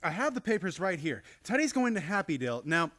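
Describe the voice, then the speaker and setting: A man's voice: high-pitched, very animated, brisk, fairly bright tone. A man acting a flustered nephew who nervously placates his eccentric family in a radio play comedy.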